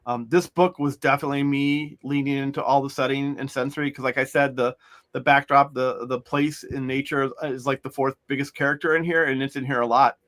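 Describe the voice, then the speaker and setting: A man's voice: mid-range voice, steady tone, a little fast, a bit bright. A middle-aged man, an author, talking in a loose, rambling way with many restarts as an interview guest. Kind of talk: conversational interview.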